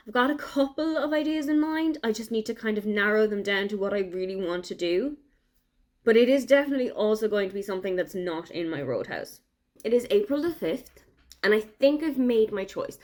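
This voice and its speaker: A young Irish woman with an Irish accent, chatting casually and enthusiastically in a book vlog diary. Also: mid-range voice. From Ireland. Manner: chatty, enthusiastic, thinking aloud. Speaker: book vlogger.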